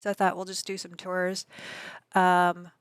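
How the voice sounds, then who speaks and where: Raspy-voiced woman streaming games, kinda low pitch, rambly chatty vibe, dry close sound. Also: completely flat delivery, a little slow, no room sound. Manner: rambling, chatty, answers chat messages aloud. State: allergies. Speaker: game streamer. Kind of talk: casual live-stream chat with viewers.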